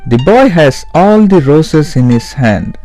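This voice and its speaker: Officious cartoon guard, slow, emphatic, raised lively pitch, faint music. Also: male voice. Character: blunt palace guard and boastful magician.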